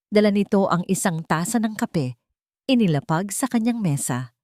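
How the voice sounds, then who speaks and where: Babaeng tagapagsalaysay na Pilipina, mababa-baba ang boses. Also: female voice, fairly low pitch, a little mellow, dry recording, clean studio sound. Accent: Filipino. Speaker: narrator. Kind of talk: scripted dramatic story narration.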